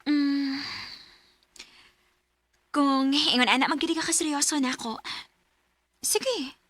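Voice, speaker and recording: A playful young sweetheart in a radio drama, with a high, very bright voice and a Cebuano accent. Her delivery is youthful and theatrical. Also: steady tone, takes it slowly, dry recording. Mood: coy.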